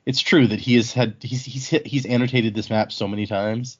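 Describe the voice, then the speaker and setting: A man's voice: medium pitch, steady tone, brisk, slightly rough, highs cut off. A man hosting a casual book discussion in an informal, chatty, digressive way. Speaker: podcast host.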